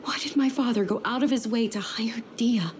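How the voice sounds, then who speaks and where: An actress playing a gentle young noblewoman in a game, speaking softly and courteously. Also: fairly high pitch, moderate pace, a little hiss or hum, lightly acted. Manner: soft, courteous, careful. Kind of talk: game voice acting.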